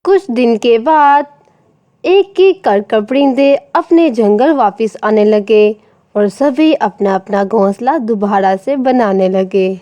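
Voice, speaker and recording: A woman's voice: a little high, slow pace, mellow, dull audio. A woman narrating a scripted children's story. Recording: faint rain and background noise.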